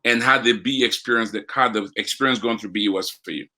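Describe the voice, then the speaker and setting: A man's voice: fairly low pitch, fast talker, bright, forceful tone. A man hosting a live podcast, asking a guest questions with upbeat enthusiasm. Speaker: podcast host.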